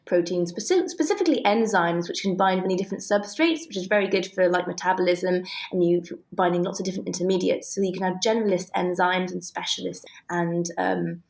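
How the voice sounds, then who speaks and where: A woman's voice: on the low side, fairly quick, gravelly, few pauses, a little mellow. A woman with a British accent explaining technical detail conversationally and with enthusiasm, as an interview guest. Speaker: guest, scientist and author.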